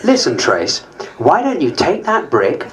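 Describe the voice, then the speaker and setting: A man's voice: a little high, huge pitch swings, measured pace. A man playing an exasperated, sarcastic politician, arguing back emphatically in a theatrical stage dramatisation.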